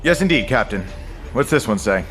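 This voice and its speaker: An actor playing a deferential, uneasy submarine executive officer in an audio drama, respectful and questioning. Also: male voice, medium pitch, expressive, moderate pace, over loud music, lightly acted.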